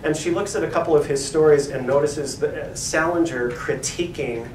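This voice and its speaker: A male professor giving a casual, thoughtful talk at a library event, pausing often with fillers. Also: on the high side. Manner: thoughtful, hesitant, many fillers. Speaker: professor, co-editor. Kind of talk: conversational academic talk. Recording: echoey room, distant mic, background noise.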